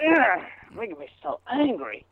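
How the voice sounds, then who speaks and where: Halting man on a tinny phone line. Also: sits high, huge pitch swings, a loud crowd. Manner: hesitant, halting, full of fillers and restarts. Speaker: phone call participant. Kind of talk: rambling phone conversation.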